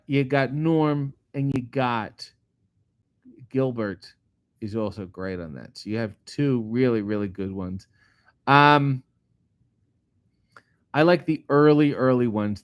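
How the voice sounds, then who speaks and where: Middle-aged guy riffing on viewer comments, swears a lot, deadpan, dry close sound. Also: mid-range voice, no room sound. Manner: profane, sardonic, deadpan asides. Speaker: comedian host reading viewer comments. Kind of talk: casual chat answering live chat questions.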